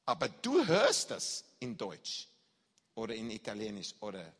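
Guy preaching, telling his own story, acts out the shouting, swoopy up-and-down voice, kinda husky, non-native german, long echo like a big hall. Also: fairly low pitch, a little slow. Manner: animated, acts out shouts and reactions. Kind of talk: sermon testimony, storytelling.

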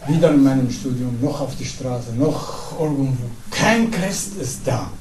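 A middle-aged man from Syria with an Arabic accent, a pastor giving an earnest, halting evening talk to a congregation. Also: medium pitch, lively intonation, a little mellow. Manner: earnest, halting, with pauses and fillers. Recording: echoey hall, distant mic, faint noise.

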